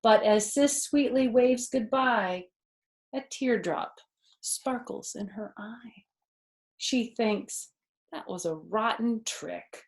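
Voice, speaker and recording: A woman's voice: fairly high pitch, slow pace. A woman in her fifties, a grandmother reading aloud to children expressively, with simple asides and questions. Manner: expressive, explains words simply, asks listeners questions. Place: living room, indoors.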